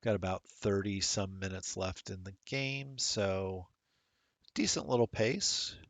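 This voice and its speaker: A man's voice: low-pitched, slow pace, no echo. A middle-aged man rambling casually while commentating a streamed tabletop game, self-deprecating and chatty.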